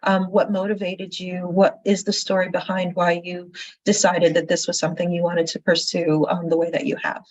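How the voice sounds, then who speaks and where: A woman's voice: lowish voice, little pitch movement, moderate pace, darkish tone. A woman from the Caribbean moderating a forum, asking questions conversationally with many fillers. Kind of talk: interview questions. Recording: dry, slightly dull recording.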